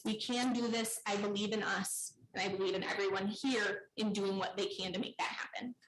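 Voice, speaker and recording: A woman giving an earnest, pleading public comment speech at a meeting, with deliberate phrasing. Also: mid-range voice, steady tone. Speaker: parent speaking at public comment. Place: indoor public board meeting room. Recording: echoey meeting room.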